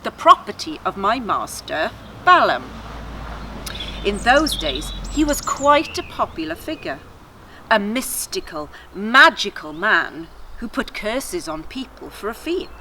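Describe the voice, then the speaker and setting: A woman's voice: mid-range voice, very animated, a little slow, bright, forceful tone, low-quality recording. A woman voicing a talking female donkey in a children's story, worldly, wry and self-assured. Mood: wry, matter-of-fact. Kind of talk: children's storybook character reading. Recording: faint outdoor garden noise.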